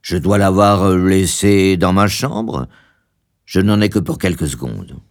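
A man voicing a stiff, controlling older husband in a storybook, with a formal, fussy, unhurried manner. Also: low voice. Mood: fussy, puzzled.

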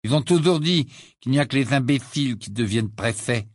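An eager teenage boy wizard from a storybook, hopeful and given to grumbling, in a lively voice close to the reader's own, as a male narrator does him. Close, dry sound. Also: medium pitch, brisk, no echo. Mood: cheerful.

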